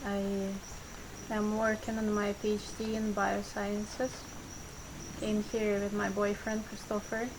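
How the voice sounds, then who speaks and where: A woman from Russia, a PhD student introducing herself in a plain, measured way. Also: medium pitch, completely flat delivery, noisy background. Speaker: PhD student, retreat participant. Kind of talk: self-introduction.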